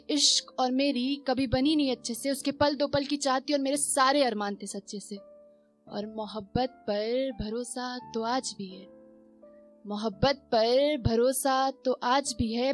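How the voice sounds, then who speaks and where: Young woman, high voice, spoken word poem, stand mic on a small stage, repeated lines, faint music behind. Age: young adult. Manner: dramatic repetitions, rising on questions, soft slow refrains. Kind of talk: spoken word poetry performance. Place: small stage in a performance venue.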